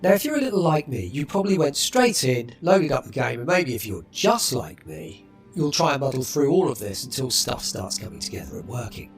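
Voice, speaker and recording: Brief: male tutorial narrator, lively pitch, relaxed, faint music under. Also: a little fast.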